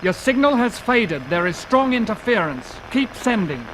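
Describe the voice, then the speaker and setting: A man playing a dutiful, alert control room radio operator, formal and deliberate, in 1950s radio acting. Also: high voice, big pitch swings, a bit bright. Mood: alert, insistent. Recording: noisy old 1950s radio recording.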